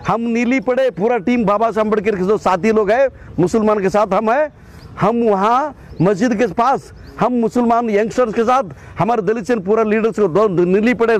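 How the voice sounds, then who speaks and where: A man with a Karnataka accent in his Hindi, giving a combative, impassioned statement as an activist and often repeating his phrases. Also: high-pitched. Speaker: political activist and state president of an organisation.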